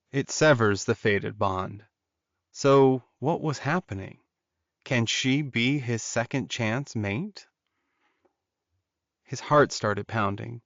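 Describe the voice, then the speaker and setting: A man reads scripted fiction as an audiobook narrator. His pitch is medium, and the recording is very dry and a little dull. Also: fairly bright tone, no room sound.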